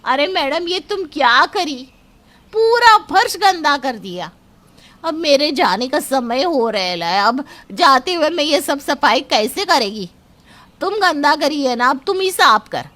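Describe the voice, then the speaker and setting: A woman's voice: sits high, lively intonation, measured pace, a bit bright. A woman voicing a harried, outspoken housemaid in a story, with colloquial complaining talk. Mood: indignant.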